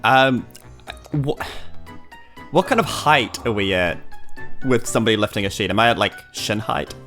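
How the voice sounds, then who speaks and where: A man's voice: on the low side, animated, moderate pace, fairly bright tone, soft background music. A man with a New Zealand accent playing a tabletop role-playing game in casual, playful chat.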